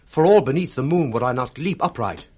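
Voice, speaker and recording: A man's voice: mid-range voice, animated, a little fast, darkish tone, husky. An actor playing a loyal, urgent young nobleman in disguise, speaking vivid theatrical verse with a British accent in 1960s radio Shakespeare.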